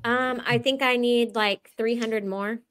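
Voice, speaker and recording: Female stream guest, fairly high pitch, casual conversational delivery. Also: fairly even intonation, a little slow, fairly bright tone, a little hiss or hum. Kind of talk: casual chat.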